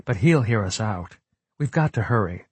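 A man's voice: on the low side, expressive, moderate pace, breathy edge, dry recording. A man voicing an earnest, determined young female scientist in an audiobook, explaining things seriously. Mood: quiet resolve. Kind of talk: audiobook character acting.

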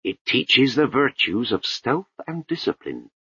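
A gruff old monk, voiced by a man, barking clipped orders at his pupil. The voice is husky and lively, heard in a dull, dry recording. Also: mid-range voice, measured pace, pitched above the performer's own voice, full character voice. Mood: instructing. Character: stern old male sweeper-monk, gruff teacher.

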